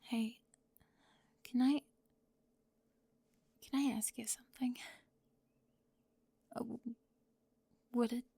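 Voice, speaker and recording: A woman playing a talkative, bossy high school girl who gets easily flustered, in an ASMR roleplay with halting speech and breathy drops toward a whisper. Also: fairly even intonation, warm tone, no room sound, full character voice. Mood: tender, flustered.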